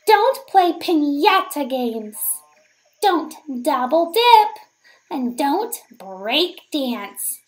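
A woman reading a children's picture book aloud as a teacher, in an expressive, deliberate storytelling manner for young children. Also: high-pitched.

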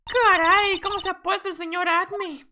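A man voicing a perky young female legal assistant in a video game, in a girlish falsetto. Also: flat delivery, normal speed, pushed and bright, slight background noise, full character voice. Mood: surprised.

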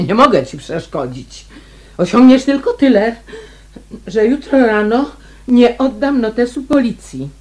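A radio play heroine: a shrewd amateur detective with a mid-pitched voice. She speaks slowly and theatrically, with defiance. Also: a little mellow, breathy edge. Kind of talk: radio drama acting.

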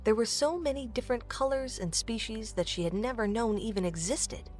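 A woman's voice: on the high side, a little fast, darkish tone, soft background music. A woman reading scripted narration for an audio drama, as a storyteller.

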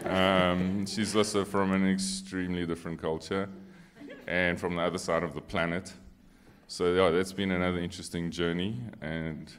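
A low-voiced South African man in middle age, whose first language is Afrikaans, speaks on a panel. He tells his own story in a casual way into a handheld microphone on stage. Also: little pitch movement, normal speed. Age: middle-aged. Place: on stage in a church hall.

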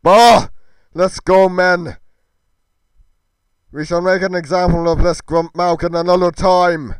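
Boastful aging warlord for game roleplay, strained high shout, pompous and combative. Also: male voice, flat delivery, slow, smooth, dry recording. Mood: scornful, commanding. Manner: pompous, shouting, speaks of himself in third person. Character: boastful, belligerent aging warrior villain, loud and bellowing. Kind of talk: theatrical fantasy roleplay acting.

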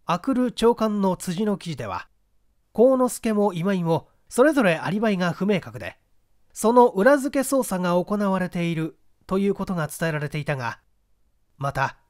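A man's voice: high voice, very animated, normal speed, darkish tone. A man reading a literary mystery story as a scripted narration. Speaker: audiobook reader, stage actor.

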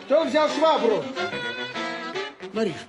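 An actor playing a suspicious, grumbling simple sailor in a radio play, with a colloquial, exclaiming manner. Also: male voice, very high-pitched, expressive, takes it slowly, music in the background, full character voice. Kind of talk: Russian radio play acting.

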